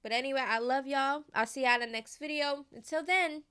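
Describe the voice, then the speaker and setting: A woman's voice: high-pitched, brisk, bright, forceful tone. A woman in her thirties hosting casual gossip commentary, chatty and slangy, often checking in with her viewers. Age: 30s.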